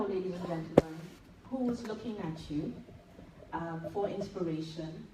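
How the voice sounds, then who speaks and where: A woman with a Trinidadian accent, a nurse giving an enthusiastic tribute speech at an event. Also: fairly low pitch. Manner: warm, enthusiastic and admiring. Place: large event hall. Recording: echoey hall, distant, noisy.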